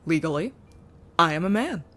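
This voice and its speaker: A man's voice: on the high side, animated, moderate pace, pushed and bright. A man playing a naive, indignant, teasing nineteen-year-old prince in a fantasy audio drama. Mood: indignant, sheepish.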